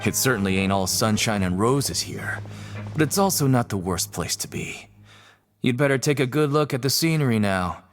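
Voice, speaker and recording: A man's voice: medium pitch, expressive, normal speed, natural voice. A man playing a world-weary, mercenary prison inmate who works as a guide in a video game, talking in an offhand, folksy way. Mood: casual, wry. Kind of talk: English video game voice acting. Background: faint game music.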